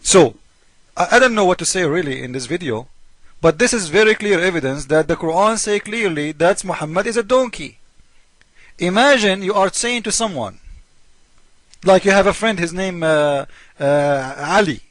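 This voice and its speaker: A high-pitched man with non-native English delivers informal religious polemic in a dry, close sound. He is mocking and theatrical, repeating his points and playing both sides of an argument. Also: expressive, normal speed, fairly bright tone, no echo. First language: not English. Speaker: host of a religious polemic video. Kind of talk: informal polemical commentary on scripture.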